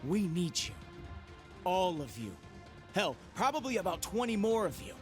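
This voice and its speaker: Wisecracking video game hero, fairly high voice, lively, rousing, music behind. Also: male voice, normal speed. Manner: quippy, self-deprecating, rousing. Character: wisecracking male hero giving a rallying speech. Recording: game cutscene audio with music.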